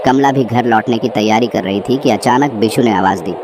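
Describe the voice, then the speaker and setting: A man's voice: mid-range voice, brisk, background noise. A man reading a scripted horror story as narrator.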